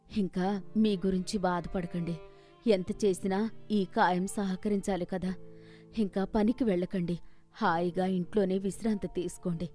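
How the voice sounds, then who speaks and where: A woman's voice: on the low side, mellow, natural voice. A woman plays an elderly village wife from a cartoon moral tale. She is humble and anxious, with a plaintive, respectful way of pleading. Music plays underneath. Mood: gently consoling. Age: older.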